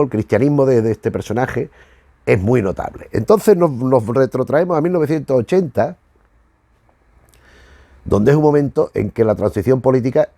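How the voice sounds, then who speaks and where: Middle aged guy w spain spanish accent, gravelly voice, rambling unscripted commentary, very dry close sound. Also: medium pitch, mellow, no echo. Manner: rambling, digressive, self-correcting. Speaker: host of a retro television review channel.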